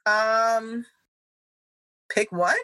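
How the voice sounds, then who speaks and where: Bright-voiced chatty American woman. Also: on the high side, very slow, poor audio. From United States. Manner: animated, laughs often, chatty. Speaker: podcast guest, massage therapist. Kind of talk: casual chat.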